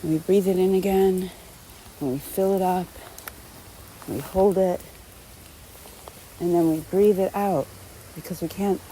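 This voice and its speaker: Low, very mellow-voiced woman guiding meditation. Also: a little hiss or hum, low-quality recording. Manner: gentle, unhurried, with long pauses between short phrases. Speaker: guided meditation leader. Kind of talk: guided meditation, unscripted spoken instruction.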